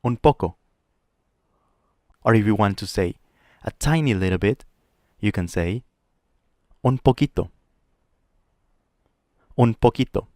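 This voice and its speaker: A man teaching a Spanish lesson, modeling phrases clearly and slowly for the listener to repeat. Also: fairly low pitch, lively intonation, a little mellow, no echo, clean studio sound. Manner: clear, slow model pronunciation with pauses to repeat. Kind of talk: language lesson with drills.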